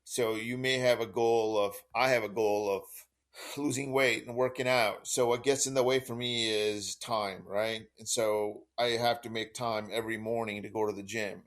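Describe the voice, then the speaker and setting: A man's voice: lowish voice, a bit bright. A man co-hosting a podcast, talking in an easygoing, casual way. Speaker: podcast co-host. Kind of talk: casual chat.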